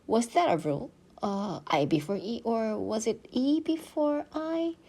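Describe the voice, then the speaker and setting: A woman reading a children's book aloud as an expressive storyteller, dramatizing as she goes. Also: fairly high pitch, unhurried. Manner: animated, dramatizing dialogue.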